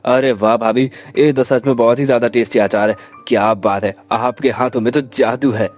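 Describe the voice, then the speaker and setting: A man's voice: mid-range voice, fairly even intonation, normal speed, soft background music, lightly acted. A man voicing a friendly, effusive young family friend who loves food, in a cartoon story. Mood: delighted. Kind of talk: Hindi moral cartoon story acting.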